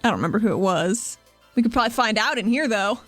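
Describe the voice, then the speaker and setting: A woman playing a literal-minded, unsure and dryly flippant woman in a tabletop game, halting and understated. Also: a little high, expressive, fairly bright tone, soft background music. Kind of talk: improvised role-play with third-person narration.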